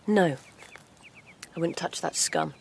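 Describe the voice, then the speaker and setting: Sensual young rebel, radio drama, lowish pitch, wry matter-of-fact delivery, faint background ambience. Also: female voice, wildly swooping pitch, takes it slowly, a little hiss or hum, full character voice. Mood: frank, brisk. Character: bold, practical, sensual young female rebel. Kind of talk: naturalistic radio drama acting.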